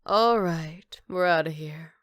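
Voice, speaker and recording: A woman playing a flirty, cocky female villain who teases in an unhurried, smooth-talking way, in an ASMR roleplay. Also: on the low side, a little hiss or hum. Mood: casual, teasing.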